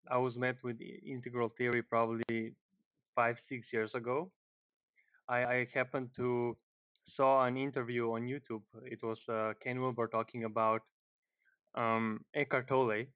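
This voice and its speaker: A man's voice: medium pitch, smooth, no room sound. Slovenian-accented man on a phone-like line. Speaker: online group member introducing himself. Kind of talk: informal self-introduction on a group call. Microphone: internet or phone call, headset. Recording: muffled narrow phone-like call audio.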